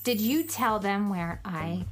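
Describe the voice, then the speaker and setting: A woman's voice: mid-range voice, lively intonation, faint music behind. Bratty, drawling, swooping girl assistant. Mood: indignant. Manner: taunting, drawn-out theatrical delivery. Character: bratty, smart, touchy young female assistant. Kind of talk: children's radio comedy acting.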